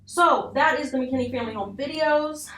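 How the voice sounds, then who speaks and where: A woman's voice: high-pitched, normal speed, a bit bright. A young adult woman giving casual video commentary on horror, informal and sweary, with self-deprecating asides. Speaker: video essay host. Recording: roomy echo, distant mic, music underneath.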